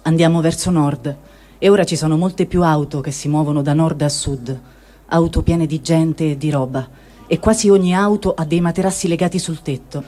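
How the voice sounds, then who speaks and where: Mellow man reading a diary, slightly high. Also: steady tone, normal speed. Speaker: reader. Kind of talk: reading a first-person diary aloud.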